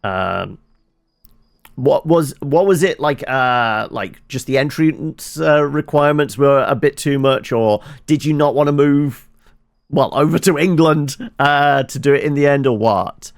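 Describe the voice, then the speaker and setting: A man's voice: mid-range voice, unhurried, creaky, dry recording, clean studio sound. A man streaming a game with casual, wry commentary and rambling asides to his viewers. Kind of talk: casual live playthrough commentary, chatting with viewers.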